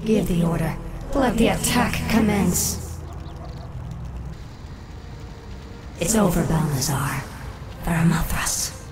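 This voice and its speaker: A woman's voice: on the low side, expressive, measured pace, loud music behind the voice. An actress playing a commanding undead elf queen in a video game, with a cold, imperious and vengeful manner. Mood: ruthless.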